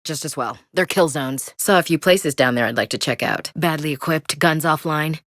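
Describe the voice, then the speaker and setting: A woman's voice: low voice, moderate pace, a bit bright, dry recording, studio quality. An actress playing a blunt, tough young female marine soldier in a video game, loyal and direct. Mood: dry, matter-of-fact. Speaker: soldier squadmate. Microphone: close studio mic.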